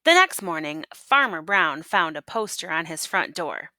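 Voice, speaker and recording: Female storybook reader, teacher type, lively swooping intonation, questioning asides, dry recording. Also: on the low side, normal speed, dry recording. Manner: teacherly, prompting listeners with questions. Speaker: teacher reading a picture book aloud to children. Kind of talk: expressive read-aloud storytelling with asides and questions.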